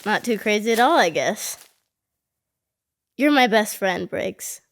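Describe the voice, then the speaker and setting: A woman playing a brash but soft-hearted small-town country girl in an audio horror drama. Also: medium pitch, lively intonation, slow pace. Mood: fond.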